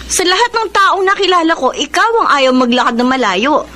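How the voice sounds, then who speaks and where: A woman's voice: very high-pitched, lively intonation, brisk, pushed and bright, heavily acted. An actress with a Filipino accent playing a doting, nagging wife in a radio drama, insistent with her reminders. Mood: fussing, concerned. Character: doting, nagging wife who fusses over her husband. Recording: faint noise and ambience behind.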